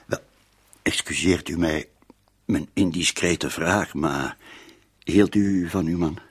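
An actor playing a patient, gently insistent police inspector in a radio drama, questioning tactfully with hesitant, repeated interjections. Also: male voice, sits low, slow, husky, heavily acted.

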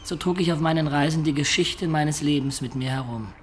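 A man's voice: on the high side, a little fast, a little hiss or hum, natural voice. An actor playing a roguish man of peasant birth, narrating his life colloquially and reflectively in a radio play.

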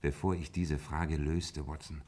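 A man playing a shrewd, self-assured detective in a German radio play, explaining things in a measured, methodical way. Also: rumbling low, level tone, a little mellow.

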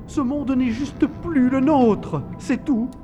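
High-pitched, slow, unhinged hermit played by a man. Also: warm tone. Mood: agitated, despairing. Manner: theatrical, fatalistic, brooding. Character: Kaiden, unhinged male former dragon hunter, haunted, fearful hermit. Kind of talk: French science-fiction audio drama acting. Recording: music behind the voice.

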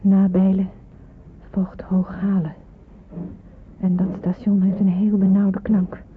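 Through a tinny, noisy old radio recording comes a young woman played by an actress, anxious and wary. She speaks in a hushed, deliberate radio-drama manner over a train-like rumble, as someone afraid of where she is being taken. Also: completely flat delivery, natural voice. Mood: anxious, brooding. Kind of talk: radio play acting with hushed inner monologue.

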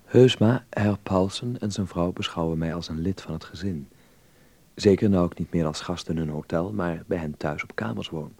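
Naive young man, low creaky voice. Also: moderate pace, darkish tone, no room sound. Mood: earnest, hopeful. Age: young adult. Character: earnest, naive young Dutchman abroad, aspiring actor. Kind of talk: radio play acting.

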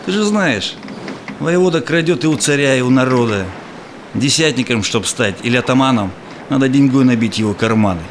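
An actor playing a proud, cynical Cossack robber in a rhymed verse audio play, declaiming emphatically. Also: male voice, medium pitch, animated, a little fast, slight background noise, natural voice. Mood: bitter, cynical.

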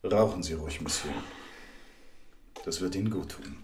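An actor playing a militant captor in a German radio crime drama, menacing and mock-courteous. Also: male voice, deep voice, normal speed, background noise, close to an everyday voice. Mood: polite menace. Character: menacingly polite male militant captor, coolly threatening.

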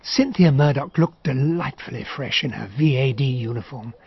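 An actor as a measured upper-class English storyteller, muffled sound. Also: male voice, mid-range voice, vocal fry, a little hiss or hum, close to an everyday voice. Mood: reflective. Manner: measured, literary, nostalgic. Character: Hastings as reflective older narrator, English gentleman recalling events. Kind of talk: scripted radio drama narration. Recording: dull, muffled radio drama recording.

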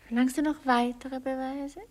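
A woman's voice: sits high, flat delivery, moderate pace, close to an everyday voice. An actress in a German radio play, a woman playing a vain, capricious stage star who teases and mocks with melodramatic airs. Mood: mocking, provocative. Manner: teasing, imperious, melodramatic. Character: capricious, vain, theatrical actress, seductive and mocking.

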